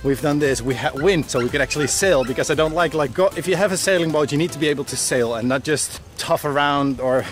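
Dutch guy in his 30s vlogging on a boat trip, kinda high voice, chatty and hyped, music playing under it. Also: fast talker, hardly any pauses. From Netherlands. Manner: enthusiastic, chatty. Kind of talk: casual travel vlog. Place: outdoors by the sea and on a sailboat. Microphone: action camera held at arm's length.

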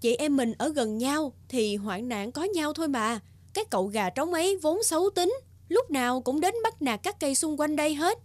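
A woman's voice: high voice, lively intonation, moderate pace, a little mellow, no room sound. A woman voicing a brave, protective older-sister flower in a children's cartoon fairy tale. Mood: reassuring.

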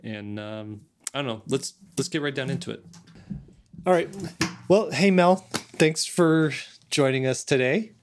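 A middle-aged man hosting a casual podcast, thinking aloud in a halting way, close to a desk microphone. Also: medium pitch, slight background noise. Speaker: podcast host. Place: home studio, on a video call. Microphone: large desk microphone, close.

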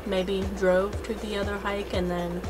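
A woman's voice: mid-range voice, flat delivery, a little slow, soft background music. A woman around her thirties talking casually to the camera as a travel vlogger. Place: inside a car.

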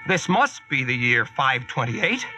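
A man's voice: medium pitch, sing-song, measured pace, bright, forceful tone, a little hiss or hum, full character voice, low-quality recording. A man acting a brash, slangy modern American stranded at King Arthur's court in a 1940s radio drama. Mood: wry narration. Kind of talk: 1940s radio acting with first-person narration. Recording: vintage 1940s radio recording, music behind.

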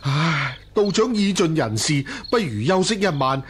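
A man playing an anxious, deferential rich gentleman in a radio drama, sighing and exclaiming as he talks. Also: fairly high pitch, animated, unhurried, a little hiss or hum. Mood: resigned, respectful. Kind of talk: Cantonese radio drama acting.

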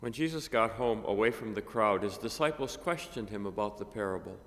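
An older man, a priest, reading a gospel and preaching a homily at a lectern microphone, measured and halting with many fillers. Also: on the low side, lively intonation, a bit bright. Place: cathedral chapel, at a lectern. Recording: long reverb of a chapel.

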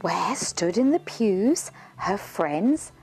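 A middle-aged woman reading a rhyming children's story aloud with dramatic, deliberate phrasing. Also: medium pitch, big pitch swings, darkish tone, faint music behind. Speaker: children's author reading own book. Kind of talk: expressive read-aloud storytelling in rhyming verse.

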